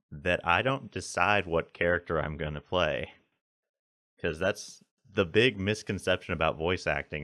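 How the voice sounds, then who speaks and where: A man's voice: a bit bright, dry recording, clean studio sound. A man who works as a voice actor, telling stories in an easygoing way on a podcast. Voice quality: deep voice. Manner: storytelling, easygoing. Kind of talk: casual podcast chat.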